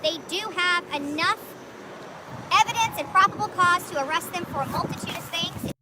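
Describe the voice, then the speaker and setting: A woman's voice: very high voice, moderate pace. A woman speaking emphatically, recorded from a distance in very noisy ambience.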